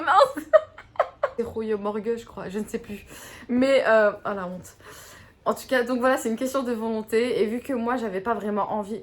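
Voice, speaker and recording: A woman's voice: lowish voice, a little hiss or hum. A young woman from Belgium, chatting casually to her camera, giggly and self-mocking. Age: young adult. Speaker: YouTuber.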